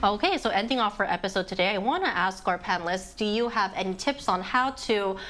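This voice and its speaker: A woman's voice: mid-range voice, expressive, brisk, a bit bright, soft background music. A woman hosting a morning news show, upbeat and laughing easily with her panel. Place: TV studio.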